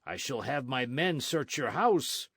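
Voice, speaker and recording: A man voicing a gruff, blustering army sergeant who makes loud demands. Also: mid-range voice, lively intonation, a little slow, no room sound, clean studio sound, pitched above the performer's own voice.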